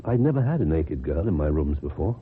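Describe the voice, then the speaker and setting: An actor with a British accent playing a lonely, awkward, earnest man in a radio drama, speaking formally and haltingly. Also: extremely low pitch, sing-song, husky, exaggerated voice. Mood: confessional, subdued. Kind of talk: old-time British radio drama acting. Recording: muffled, noisy old radio recording.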